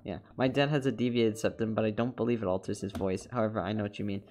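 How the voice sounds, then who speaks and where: A man's voice: lowish voice, steady tone, fast, darkish tone. A young man with a congested, nasal voice chatting casually as a streamer while he animates, rambling with repeated words and laughs.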